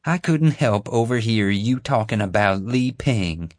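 A man's voice: on the low side, animated, no echo, clean studio sound, voice pushed up. A man putting on an elderly honeyed Southern lady, Flora. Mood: gracious. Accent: Southern US drawl. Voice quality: slow honeyed drawl.

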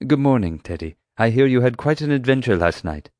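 A man's voice: medium pitch, animated, a little fast, darkish tone, no echo, clean studio sound, voice pushed up, natural voice. A man doing an earnest, courteous ranger. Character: earnest, polite male park ranger.

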